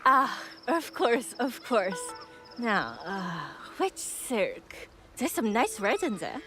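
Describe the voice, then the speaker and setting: An actress playing a proud, practical kimono seller with a matter-of-fact sales manner in a video game. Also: female voice, a little high, very animated, slow, music in the background. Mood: eager, obliging. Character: proud, practical female kimono seller, blunt then obliging.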